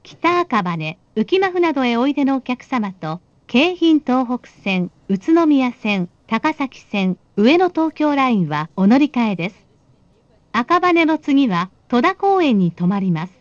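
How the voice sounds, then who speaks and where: A woman giving calm, polite recorded announcements for a train. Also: sits high, measured pace, dry recording. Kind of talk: recorded on-board station announcements. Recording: dull recording from inside a train.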